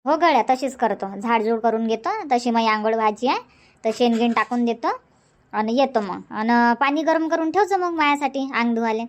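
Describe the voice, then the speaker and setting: A high, bright-voiced village housewife, voiced by a woman in a cartoon comedy. She scolds and grumbles in fast village banter. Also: natural voice. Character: nagging village housewife Shanta, chatty and sharp-tongued.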